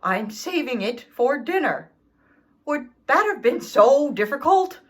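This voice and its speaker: A woman's voice: high-pitched, sing-song, unhurried. A woman voicing an indignant, self-pitying male dog in a children's storybook, reading his letters with theatrical, aggrieved emphasis. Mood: aggrieved, pleading. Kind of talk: expressive children's storybook read-aloud.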